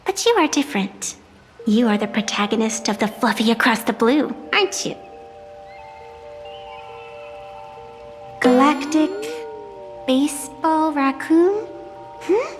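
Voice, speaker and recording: A high, feminine game voice for a mysterious supernatural being. She is playful yet aloof, speaking in formal, theatrical phrases with a teasing edge. Long reverb surrounds her, and music plays underneath. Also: lively intonation, moderate pace. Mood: playful, curious. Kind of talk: video game character dialogue.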